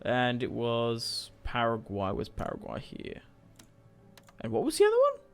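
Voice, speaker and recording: A man's voice: mid-range voice, wildly swooping pitch, unhurried. A young man casually commentating a strategy game he plays, chatty and rambling, swearing now and then. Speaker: gaming YouTuber. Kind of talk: casual let's-play commentary.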